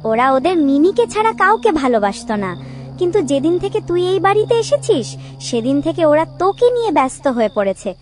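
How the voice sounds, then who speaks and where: A woman's voice: sits high, sing-song, quick pace, soft background music. A woman voicing the jealous, menacing ghost of a little girl in a cartoon ghost story, scolding and threatening. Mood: menacing, bitter.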